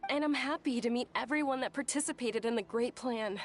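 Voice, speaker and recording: Woman playing a high-voiced polite young noblewoman. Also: brisk, close to an everyday voice. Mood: kind, sincere. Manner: polite, earnest. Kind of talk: video game voice acting.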